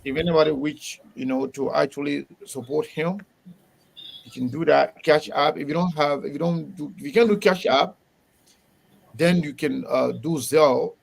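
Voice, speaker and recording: Creaky-voiced man hosting. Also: fairly high pitch, moderate pace, poor audio. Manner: animated, laughing, repeats words. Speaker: host. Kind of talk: casual interview on a live show.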